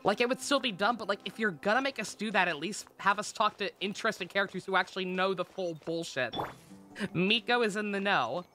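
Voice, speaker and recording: A woman's voice: medium pitch, fairly quick, bright, forceful tone. A woman game streamer giving casual, sweary live commentary in a sarcastic, ranting manner. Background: game music.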